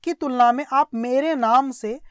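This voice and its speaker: A woman's voice: high-pitched, unhurried, smooth, no echo, studio quality. A woman with an Indian accent giving a translated story lesson, explaining phrase by phrase and often repeating herself to check understanding. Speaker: English teacher.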